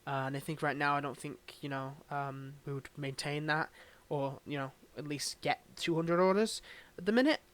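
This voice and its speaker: A man's voice: fairly high pitch, steady tone, moderate pace, few pauses, a bit bright. A man giving a casual, unscripted update to his listeners as channel host, in a subdued, hesitant, rambling way. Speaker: ASMR voice performer and channel host.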